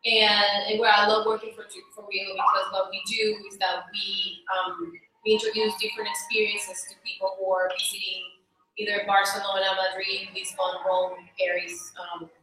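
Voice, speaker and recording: A woman's voice: medium pitch, flat delivery, moderate pace, sharp tone, slight background noise. A woman from Venezuela introducing herself at a meetup in an upbeat, promotional way. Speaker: public relations worker. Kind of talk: self-introduction. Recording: distant, very echoey room.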